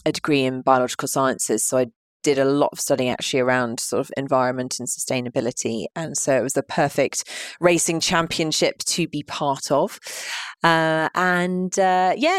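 Brief: London woman in her 30s, podcast co-host, smooth and lowish, dry close mic, easygoing and quick to laugh. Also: no echo. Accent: London English. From London, England. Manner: chatty, laughs often. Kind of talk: casual podcast chat.

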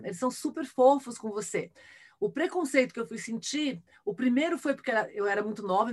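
A middle-aged Brazilian woman with a fairly high voice speaks as an interview guest. She has a Brazilian accent and explains things conversationally, often ending phrases with a short tag question to check agreement. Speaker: interview guest, engineer and tech ambassador. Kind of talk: conversational interview answers, personal anecdotes.

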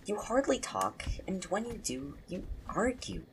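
A woman playing a confident, nosy young roommate in an audio drama, talking in a playful, probing, expressive way. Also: medium pitch, measured pace, slight background noise. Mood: probing, exasperated. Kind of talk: casual audio drama dialogue.

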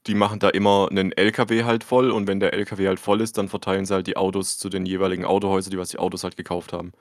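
A man with a somewhat low voice chats casually on a podcast, telling everyday stories at length and arguing over small details. The recording is dry and close, with no room sound. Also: flat delivery, racing, dry recording. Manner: chatty, rambling storyteller, argues details. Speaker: podcast co-host. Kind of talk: casual chat.

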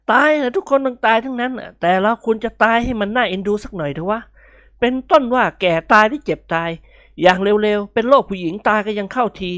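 A woman's voice: medium pitch, no room sound, studio quality, pitched above the performer's own voice, natural voice. A woman voicing a droll young man who drawls his gloomy jokes in a storybook reading.

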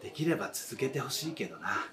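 A man's voice: medium pitch, very animated, quick pace, fairly bright tone. A man in his thirties playing a supportive, frank old friend in a radio drama, talking in a casual, encouraging way. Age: 30s. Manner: casual, plain-spoken, encouraging.

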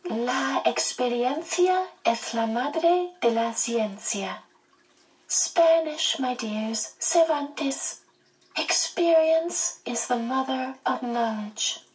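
A woman's voice: sits high, lively intonation, slow pace, pitched above the performer's own voice. A woman voicing a quirky otherworldly female being who recites quotations in a lilting, sing-song way, recorded at a distance. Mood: sage.

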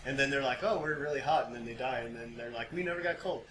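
An American man co-hosting a casual podcast, joking informally and excitably, picked up from a few feet away. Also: medium pitch, fast talker. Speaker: podcast co-host. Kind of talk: casual conversational podcast chat. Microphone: picked up by another's mic about five feet away. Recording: distant, roomy pickup with background noise.

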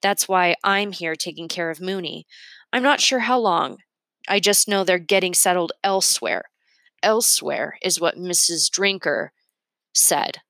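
Lower-voiced woman reading a story aloud. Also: a little slow, vocal fry, a bit bright, no echo. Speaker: read-aloud narrator. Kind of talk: expressive storybook read-aloud in first person.

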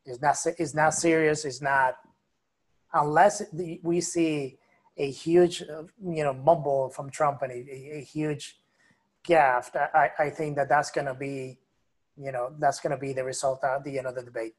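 Guy on a political podcast, pushy opinions, voice a bit on the high side. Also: fairly even intonation, normal speed. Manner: emphatic and opinionated. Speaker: guest, media commentator. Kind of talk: casual political podcast talk.

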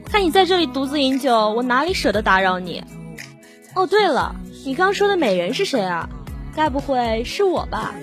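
A feisty young tavern keeper, played by a woman in an audio drama. Her high voice moves up and down in lively swings, brash and scolding with a teasing edge. Soft music sits behind her. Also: moderate pace. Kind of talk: Chinese audio drama acting.

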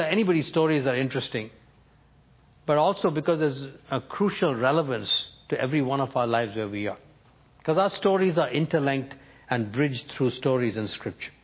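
A man's voice: mid-range voice, muffled recording. Older Indian-born man preaching. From North India. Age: 70s. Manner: personal storytelling, measured with emphatic lifts. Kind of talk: sermon.

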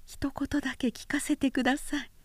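Woman acting a proud samurai wife, raised pitch, urgent, pleading, high-strung, polite feminine wording, period storybook. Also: level tone, moderate pace, no echo, close to an everyday voice. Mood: tense pleading.

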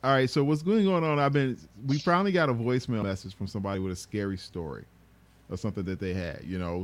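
A man hosting a podcast, presenting a listener's voicemail in a loose, lively way. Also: on the low side. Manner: lively, laughs loudly. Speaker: podcast host. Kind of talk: casual podcast chat.

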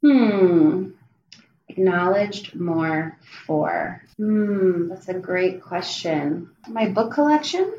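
Casual American woman guest, chatty and quick to laugh, heard in a roomy, slightly dull recording. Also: fairly low pitch, animated, mellow, a little hiss or hum. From New Jersey. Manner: chatty, many fillers, laughs easily. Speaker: podcast guest. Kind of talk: casual conversation.

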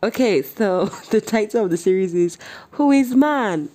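Excitable Nigerian American woman podcast host. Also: mid-range voice, a little slow. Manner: excitable, laughs often, exclaims.

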